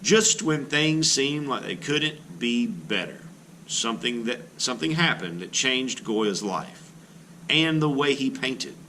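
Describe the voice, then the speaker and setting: Lively, bright-voiced male art teacher reading aloud. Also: medium pitch, takes it slowly, slight background noise, poor audio. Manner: expressive storybook reading with teacherly asides. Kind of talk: reading a picture book aloud to children.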